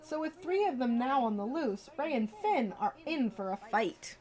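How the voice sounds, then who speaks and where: High-voiced woman, chatty bedtime story streamer. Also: sing-song, moderate pace, darkish tone. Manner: informal, chatty, addressing viewers directly. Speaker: livestream host. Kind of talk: casual livestream chat and children's bedtime story reading.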